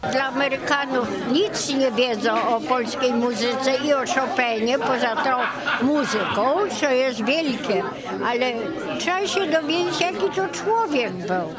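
Female interviewee, high voice, informal remarks over a very noisy crowd. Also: expressive, normal speed. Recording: loud crowd chatter behind.

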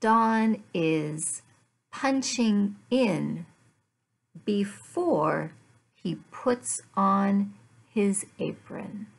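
A middle-aged woman, an English teacher, giving a lesson in deliberate, word-by-word phrases with repeated questions and answers. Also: medium pitch, animated, creaky. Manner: deliberate, word-by-word enunciation for learners. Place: small furnished room at home.

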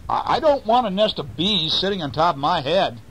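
A man's voice: high-pitched, sing-song, fairly quick, fairly bright tone, voice pushed up, full character voice, poor audio. A man voicing a polite, clever little mouse in a bedtime story. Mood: pleading. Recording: faint noise, homemade recording.

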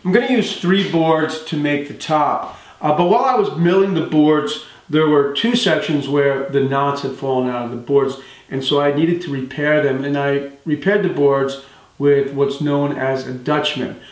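A man in his thirties explains a woodworking build step by step in a steady, calm manner. His voice sits slightly high. The sound carries the echo of a hard-walled workshop, heard from a camera some distance away. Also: moderate pace. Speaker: woodworking channel host. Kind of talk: instructional workshop narration. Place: woodworking shop, indoors. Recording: echoey workshop room.

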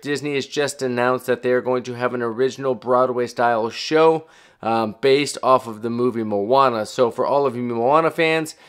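Middle aged guy doing a travel vlog, regular mid pitch voice, chatty and casual, kinda self-deprecating while he explains stuff. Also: smooth. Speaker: travel vlogger and host. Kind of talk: casual explainer talk to viewers.

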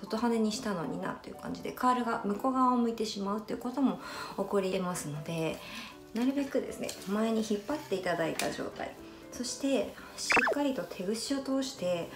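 A woman's voice: medium pitch, lively intonation, a little fast. A young woman from Niigata, a hairstylist, giving a polite, explanatory tutorial while styling her own hair with a curling iron. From Niigata, Japan. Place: small bright room indoors. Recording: roomy sound with music behind.

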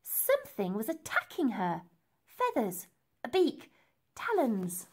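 Animated woman reading a storybook. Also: fairly high pitch, slow, slightly rough. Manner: dramatic, emphatic phrasing. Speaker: storybook reader. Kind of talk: expressive children's story narration.

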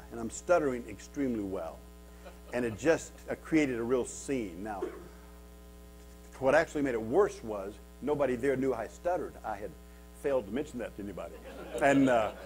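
A man's voice: mid-range voice, a little mellow. A man from Kansas in his fifties, a guest speaker telling stories to a men's retreat in a church hall, stuttering, with many repeats and self-mocking humor. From Kansas, USA. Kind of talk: storytelling talk to a men's retreat. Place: wood-paneled church hall with a seated audience.

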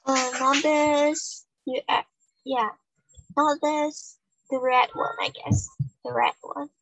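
High-voiced woman chatting slowly over a game. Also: steady tone, no echo, poor audio. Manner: chatty, thinks aloud with many ums, soft and unhurried. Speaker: gaming channel host. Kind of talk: casual gameplay commentary.

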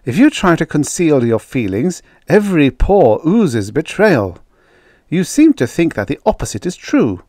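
A man voicing a quick-tempered woman academic who argues and lectures with emphatic conviction. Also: a little high, big pitch swings, darkish tone, no echo, voice pushed up. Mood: earnest lecturing.

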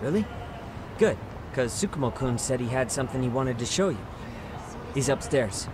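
A man's voice: medium pitch, lively intonation, normal speed, background noise, close to an everyday voice. An actor playing a casual, breezy young male sidekick in a video game. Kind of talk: video game cutscene dialogue.

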